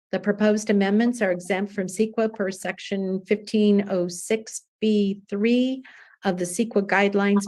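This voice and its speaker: A woman's voice: mid-range voice, a little mellow. A woman chairing a city planning commission meeting, reading agenda items formally and calling for motions.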